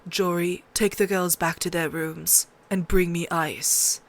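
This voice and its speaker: A weary, honourable lord, played by a woman narrator. He speaks gravely and with restraint, as a serious man in an audiobook. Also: fairly low pitch, fairly even intonation, slow. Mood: grim resolve. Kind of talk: audiobook character voice.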